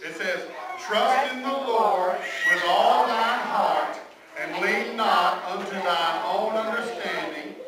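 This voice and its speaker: A man's voice: sits high, slow pace, a loud crowd. Distant, echoey man hosting children's puppet show. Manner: playful, chatty, addressing children. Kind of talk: children's ministry presentation. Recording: roomy, distant, very noisy live hall recording.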